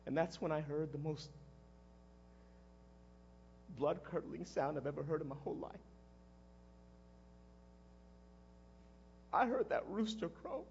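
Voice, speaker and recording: An actor playing a guilt-ridden, impulsive fisherman disciple in a halting, conversational dramatic monologue, with a husky male voice. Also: fairly high pitch, lively intonation, dull audio, slight background noise. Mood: haunted, self-loathing. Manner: halting, conversational, erupting into shouts. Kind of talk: dramatic first-person monologue.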